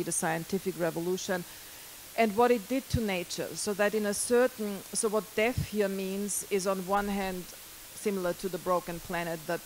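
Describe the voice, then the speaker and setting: A middle-aged woman, lecturing at a university into a handheld mic, pausing often with fillers and restarts. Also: on the low side, slight background noise, rough recording. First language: German. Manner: hesitant, many fillers and restarts. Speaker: guest lecturer. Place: lecture hall.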